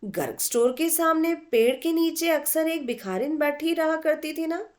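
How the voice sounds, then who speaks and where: A woman voicing an ambitious, lively young female art student in a story, animated and emphatic. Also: high voice, normal speed, voice pushed up, close to an everyday voice. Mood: flustered, explaining.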